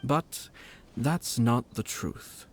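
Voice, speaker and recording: A man playing a composed, courtly and playfully sly young nobleman in a video game, speaking in a measured, polite way. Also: mid-range voice, faint music behind. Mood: serious, shrewd. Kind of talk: game dialogue acting.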